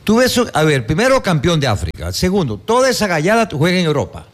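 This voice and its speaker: Male panelist, lively emphatic delivery, higher voice. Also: fast talker. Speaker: sports talk panelist. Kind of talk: sports talk panel discussion.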